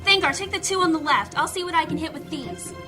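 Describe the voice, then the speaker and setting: A woman's voice: extremely high pitch, expressive, quick pace, music in the background. A woman playing a fierce, bossy knife fighter, speaking sharply and commandingly in a fantasy audio drama. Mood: urgent commanding.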